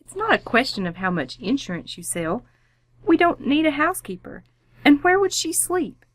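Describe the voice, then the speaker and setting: A wry mother in her thirties in a radio family comedy. Her voice sits slightly high for a woman and is recorded dry, with a composed, gently weary delivery. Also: big pitch swings, normal speed, dry recording. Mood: firm. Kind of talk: old-time radio family comedy acting.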